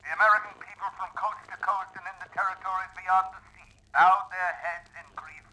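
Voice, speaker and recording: An actor playing a solemn 1920s newsreader, formal and declamatory, heard through a shortwave radio. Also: male voice, high-pitched, fairly even intonation, fairly quick, pushed and bright. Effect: shortwave radio filter. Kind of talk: old-time radio news announcing. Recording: crackly shortwave radio with faint noise.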